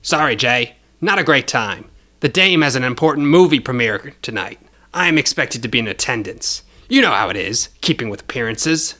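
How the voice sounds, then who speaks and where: A man's voice: medium pitch, expressive, moderate pace, sharp tone. A man voicing a cocky, bloodthirsty young cyborg bounty hunter in an audio story, with a swaggering manner. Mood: brisk, casual.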